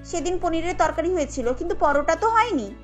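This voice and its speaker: A discontented younger sister-in-law in a family cartoon, played by a woman. Her high voice is plaintive, wheedling and a little resentful, with faint music underneath. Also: animated, fast talker.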